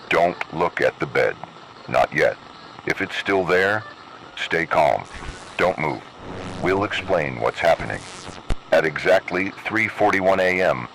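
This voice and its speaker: A grave emergency broadcast announcer, played by a man, with a low, bright-edged voice. He gives measured, scripted horror warnings as instructions, over faintly noisy radio-like audio. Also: vocal fry, full character voice.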